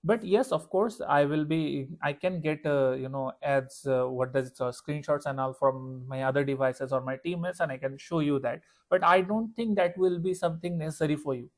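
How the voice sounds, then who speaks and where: A young man from Bangladesh with a Bangladeshi accent, a freelance ad marketer earnestly pitching his services to a client on a call. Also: normal speed, few pauses. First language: Bengali. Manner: earnest, reassuring, fillers of "you know". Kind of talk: sales call.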